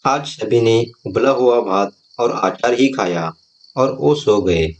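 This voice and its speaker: A man's voice: mid-range voice, expressive, takes it slowly, slight background noise. A man narrating a scripted moral story as its storyteller. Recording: music bed behind the voice.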